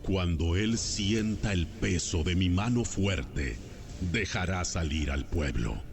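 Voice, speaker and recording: A man's voice: low-pitched, animated, slow pace, over music, full character voice. A man playing a solemn, authoritative God in a dramatized audio Bible, speaking in declarations. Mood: solemn, commanding.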